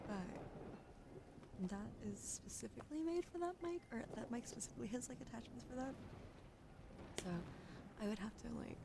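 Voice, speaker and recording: A woman livestreaming a soft-spoken ASMR card reading, often slipping into a whisper, chatty and affectionate with her viewers, close to a desk mic. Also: a little high, vocal fry, heavy background noise. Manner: soft, gentle, partly whispered delivery. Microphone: close desk microphone.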